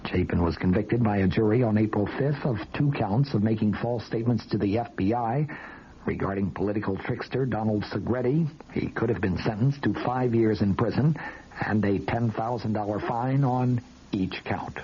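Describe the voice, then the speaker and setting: Male American news correspondent, 1970s radio style, low measured voice, muffled archival sound with faint noise. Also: warm tone. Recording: dull, noisy vintage radio broadcast.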